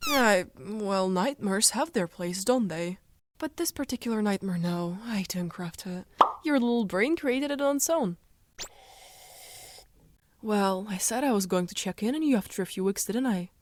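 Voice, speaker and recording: A woman voicing a calm, cryptic dream spirit in an animated comic dub, speaking in a measured, lightly teasing manner. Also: medium pitch, a little hiss or hum, close to an everyday voice. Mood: calm, coy.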